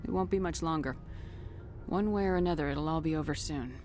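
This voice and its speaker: An actress playing a steady, resolute human starship commander in a video game, speaking in a firm, measured way. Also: female voice, low voice, faint music behind, close to an everyday voice. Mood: warm resolve.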